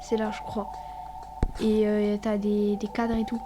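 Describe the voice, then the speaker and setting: A woman's voice: medium pitch, completely flat delivery, unhurried, noisy background. A woman with a cold, sniffling as she chats casually over her gameplay, rambling and often dropping to a whisper. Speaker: gaming vlogger.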